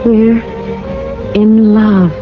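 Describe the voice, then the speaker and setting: An actress playing a tender, wistful wife from a regimented future society in a radio drama, speaking earnestly in short, halting phrases. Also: female voice, mid-range voice, flat delivery. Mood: awed, loving. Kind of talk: 1980s radio drama acting. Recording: dull vintage radio broadcast with music.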